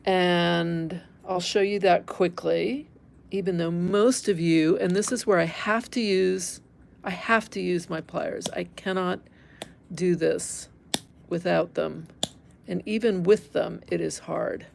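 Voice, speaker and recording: A woman's voice: lowish voice, steady tone, takes it slowly, gravelly, slight background noise. A middle-aged American woman teaching embroidery in an informal live demonstration, candid and conversational, thinking aloud as she works and chats with viewers. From Northern California, United States. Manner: candid, conversational, thinking aloud while working with her hands. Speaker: embroidery teacher, livestream host.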